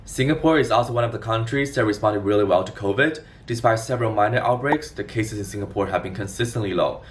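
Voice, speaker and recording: Quick, low-voiced young man vlogging. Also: recorded from a distance, a little hiss or hum. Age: 20s. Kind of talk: scripted explainer vlog to camera.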